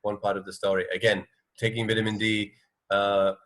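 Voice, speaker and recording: A man with a fairly low voice chats as a radio co-host. He is hesitant and measured, feeling his way through each point in a casual discussion. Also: flat delivery, fairly bright tone. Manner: hesitant, tentative, measured wording.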